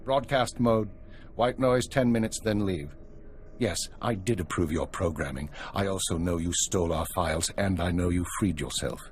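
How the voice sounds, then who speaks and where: Male actor as calculating intelligence minister, game drama: low-pitched, measured, authoritative, unflappable. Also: a little hiss or hum, natural voice. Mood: cool, controlled. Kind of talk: video game cutscene acting.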